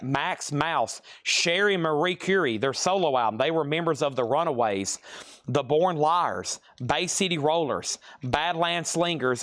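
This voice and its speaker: A man hosting a live record sale stream, briskly listing items and current bids with chatty asides to viewers. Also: a little high, a bit bright.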